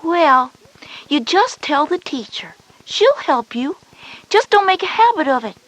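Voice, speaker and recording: A woman's voice: sits high, big pitch swings, a little slow, a bit bright, full character voice, rough recording. A woman playing a knowing, reassuring older sister of school age in a children's educational audio drama.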